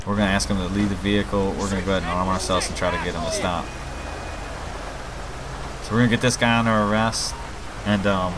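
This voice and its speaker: Male gaming host, lowish voice, informal think-aloud delivery to viewers, over noticeable game ambience of rain and vehicles. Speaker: gaming video host and mod maker. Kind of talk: casual let's play commentary. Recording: clear game rain and traffic noise. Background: video game rain, vehicles and city ambience.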